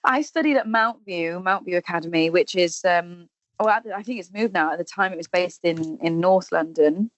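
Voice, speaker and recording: A woman's voice: lowish voice, moderate pace, no room sound, rough recording. A woman in her thirties from Wales, a Welsh speaker with a Welsh accent, chatting casually as a podcast guest about her work as an actress and singer, full of ums and restarts. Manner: chatty, warm storytelling with many ums and restarts. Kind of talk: casual interview chat.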